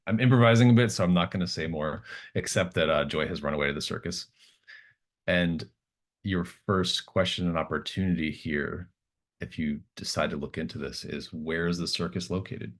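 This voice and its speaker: A man's voice: low voice, normal speed. A man narrating a tabletop roleplaying game as game master, explaining and improvising in a hesitant, thinking-aloud manner.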